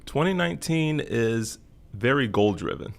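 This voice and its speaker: A man's voice: medium pitch, expressive, a little slow, gravelly. A middle-aged man from Florida, a podcast guest speaking earnestly and reflectively, repeating key phrases for emphasis. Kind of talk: casual interview talk.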